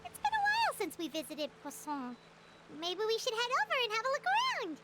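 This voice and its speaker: A woman voicing a small, excitable, nosy fairy companion in a video game, exclaiming in childlike fashion. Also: extremely high pitch, brisk, exaggerated voice. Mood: curious, chirpy. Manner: speaks of self in third person, exclaiming. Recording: music behind the voice.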